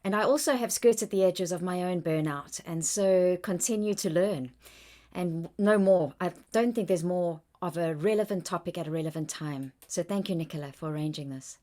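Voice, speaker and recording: A woman's voice: lowish voice, normal speed, mellow. A woman with a South African accent, a workplace wellbeing coach presenting on a video-call webinar, engaging the audience with questions.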